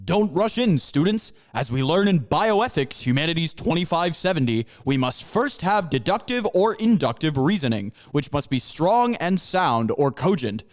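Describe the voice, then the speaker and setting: A man's voice: on the high side, very animated, moderate pace, few pauses. A man voicing a pompous panther professor who lectures his students in grandiose, stretched-out phrases. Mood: lecturing. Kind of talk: amateur audio drama.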